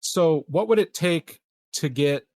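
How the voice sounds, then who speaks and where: A man's voice: a little high, animated, slow, darkish tone, no room sound. A man chatting casually as a player in a tabletop roleplaying game, negotiating with the game master.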